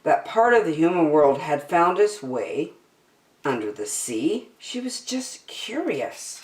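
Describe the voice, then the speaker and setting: A middle-aged woman reading a picture book aloud expressively, as a children's story time host. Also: deep voice. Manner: animated, deliberate. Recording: slightly echoey room.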